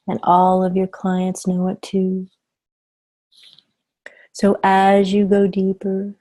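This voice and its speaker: Female hypnotherapist for guided affirmations: dark, soft-edged tone, almost no melodic movement, soothing, deliberate delivery with emphatic repeats. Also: fairly low pitch.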